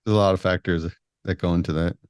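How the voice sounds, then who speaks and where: Low-voiced relaxed male podcast host. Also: fast, clear, resonant tone, no room sound, studio quality. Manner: relaxed, joking, casual. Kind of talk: casual podcast interview.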